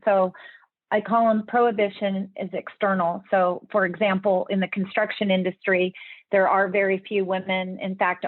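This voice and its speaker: A woman's voice: medium pitch, no echo. A woman guest talks earnestly through her points, explaining step by step and restarting phrases as she thinks. Her voice comes over a thin, phone-like remote line with no room sound at all. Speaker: podcast guest, leadership speaker. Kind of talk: interview answers.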